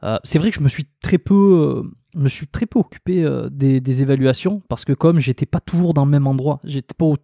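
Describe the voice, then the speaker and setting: A man's voice: medium pitch, brisk, vocal fry, hardly any pauses, mellow, no echo, studio quality. A man hosting a podcast interview, talking casually with frequent fillers. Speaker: podcast host.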